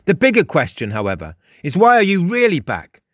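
A man's voice: sits high, huge pitch swings, a little fast, no room sound, clean studio sound, pitched above the performer's own voice, exaggerated voice. A man voicing a smug, theatrical young male mystic in a storybook, declaiming with pompous emphasis. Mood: knowing, urgent. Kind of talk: audiobook character dialogue.

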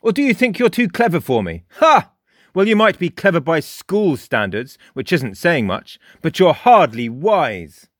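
A man's voice: on the high side, sing-song, no echo, clean studio sound, pitched above the performer's own voice. A male narrator voicing a mischievous, fox-like teenage boy who taunts and lectures in a lofty, mocking way. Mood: taunting. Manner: mocking, lofty, rapid-fire barrage. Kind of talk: audiobook character voice.

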